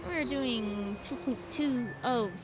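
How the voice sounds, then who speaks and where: A woman's voice: a little high, takes it slowly. A woman livestreaming a game, chatting casually and playfully with viewers and easily distracted. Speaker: livestreamer, VTuber and cosplay content creator. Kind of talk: casual gameplay stream chat. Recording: game music behind the voice. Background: game music and dialogue.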